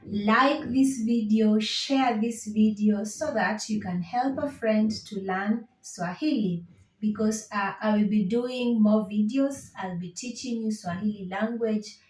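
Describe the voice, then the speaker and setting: A woman's voice: on the high side, far from the mic. A woman from Kenya with a Kenyan accent teaching Swahili in an online lesson, patiently repeating each phrase. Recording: roomy echo, set back from the mic.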